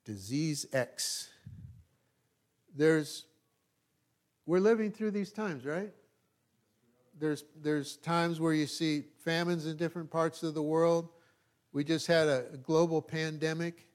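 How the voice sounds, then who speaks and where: Higher-voiced Californian man preaching informally. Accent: Californian American. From California, United States. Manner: folksy, self-deprecating, halting with repeated checks to listeners. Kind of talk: informal, conversational sermon.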